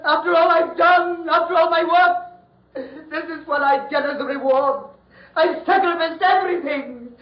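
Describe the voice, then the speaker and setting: An actor playing an obsessed, desperate mad doctor in a theatrical, raving 1940s radio horror style. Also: male voice, very high-pitched, moderate pace. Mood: betrayed rage. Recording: muffled old radio recording, echoey.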